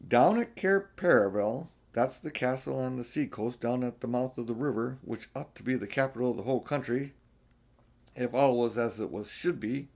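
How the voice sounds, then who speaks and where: A man's voice: medium pitch, moderate pace, vocal fry, darkish tone. A man voicing an earnest, cautious male beaver in a storybook, explaining things in an emphatic, folksy way. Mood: grave, cautionary. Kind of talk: storybook character voice.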